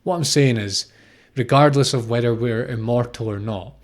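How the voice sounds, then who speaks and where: A man's voice: medium pitch, moderate pace. A man in his thirties from Scotland gives an unscripted, informal spiritual talk, explaining reflectively and often repeating phrases or posing questions. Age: 30s. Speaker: spiritual teacher, podcast host.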